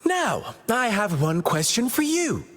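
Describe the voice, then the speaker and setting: A theatrical young villain from a game, sly and mocking, who swings his pitch all over and drags his words out slowly. His taunts slip into husky whispers, and the audio rings with a long reverb. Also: huge pitch swings, a bit bright, heavily acted. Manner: playful taunting, teasing drawn-out delivery with whispers. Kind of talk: video game cutscene voice acting.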